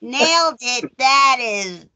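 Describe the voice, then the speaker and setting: A woman hosting a recap show, chatting casually about a film in an animated way, throwing out rhetorical questions. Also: sits high, slow. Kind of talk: casual chat movie review.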